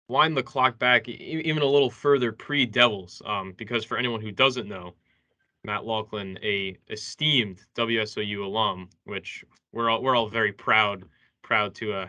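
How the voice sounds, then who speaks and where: A young man with a lowish but very bright, forceful voice. He speaks as a co-host on a sports show, phrasing his interview questions in a casual, conversational way.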